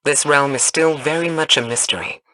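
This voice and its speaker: A serious scholar-commander advising a soldier in a video game. She is analytical and measured, with a forceful bright edge. There is faint noise behind the voice. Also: sits low.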